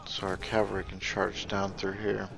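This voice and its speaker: A man giving casual gameplay commentary, thinking aloud in a halting, musing way and often trailing off mid-thought. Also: deep voice, monotone, creaky, music in the background. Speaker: gaming video host.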